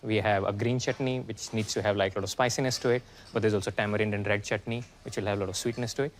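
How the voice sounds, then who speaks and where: A man's voice: lowish voice, steady tone, quick pace, a little hiss or hum. A man, a cooking contestant describing his dish in casual talk. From India. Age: 30s. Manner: friendly, slightly nervous. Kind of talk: casual interview.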